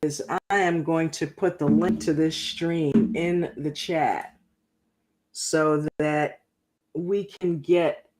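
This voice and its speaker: A woman casually reviewing a reality show on a livestream, slangy and animated, acting out quotes in a mocking tone. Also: deep voice, slow, poor audio. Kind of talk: casual reaction livestream chat.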